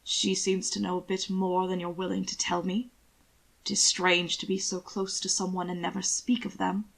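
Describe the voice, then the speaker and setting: A woman's voice: on the low side, little pitch movement, normal speed, vocal fry, fairly bright tone, low-quality recording. A woman voicing a proud, probing aristocratic grandson with a dry, formal manner.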